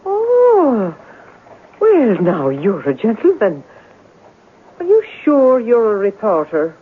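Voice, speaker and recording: A woman's voice: fairly high pitch, wildly swooping pitch, slow pace, a little hiss or hum, heavily acted. An actress playing a suspicious, tough boarding house landlady in a radio drama. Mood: warming, wary. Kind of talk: 1970s radio drama acting. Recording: tinny old radio broadcast.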